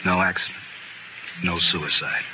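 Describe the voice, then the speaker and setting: An actor playing a vengeful, sardonic gunfighter with a wry, clipped delivery in a 1950s radio western. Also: male voice, low-pitched, fairly even intonation, moderate pace, close to an everyday voice. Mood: grave. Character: cool, vengeful gunfighter, adult man, sardonic. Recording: noisy, dull 1950s radio recording.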